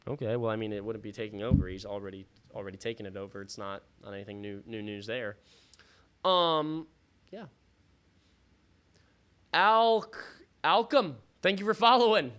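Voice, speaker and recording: A man hosting a live fantasy football stream in a casual, slangy, sweary way, thinking out loud, his voice starting to give out. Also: lowish voice, moderate pace, long pauses, smooth. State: losing his voice. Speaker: livestream host. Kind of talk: casual live fantasy football draft commentary with chat shout-outs.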